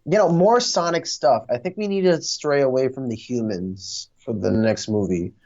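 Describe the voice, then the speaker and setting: A man's voice: medium pitch, moderate pace, a little mellow. A dull, lo-fi recording of a man with a mid-range voice. He talks casually about films, hesitating and thinking aloud as he goes. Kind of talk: casual movie discussion. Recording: dull, lo-fi sound.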